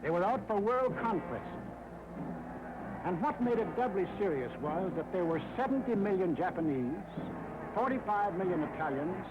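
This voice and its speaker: An American man delivers emphatic wartime documentary narration in a 1940s style. His voice sits fairly high and swings expressively from phrase to phrase. The sound is a dull, aged film track with music underneath. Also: very animated, normal speed, background noise. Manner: dramatic, emphatic, rhetorical delivery.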